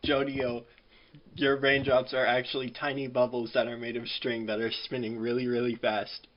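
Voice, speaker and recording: A man's voice: medium pitch, flat delivery, normal speed, highs cut off. A man chatting casually as a co-host on a group podcast.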